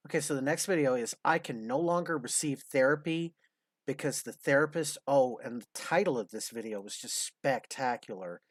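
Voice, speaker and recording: A man's voice: medium pitch, normal speed, no echo. A man in his fifties hosting a casual commentary, blunt, sarcastic and profane. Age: 50s. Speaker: host.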